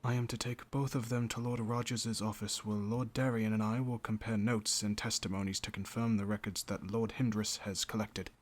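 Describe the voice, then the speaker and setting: A man's voice: lowish voice, flat delivery, moderate pace, few pauses, lightly acted. A man acting a dutiful knight captain in a fantasy audio drama, giving reports in formal language.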